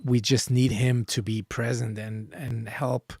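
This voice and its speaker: Casting: man, mid pitch, easygoing livestream host, voice going hoarse, dry close sound. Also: flat delivery, unhurried, a little mellow, no room sound. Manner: agreeable, frequent short affirmations. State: losing his voice. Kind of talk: casual livestream hosting.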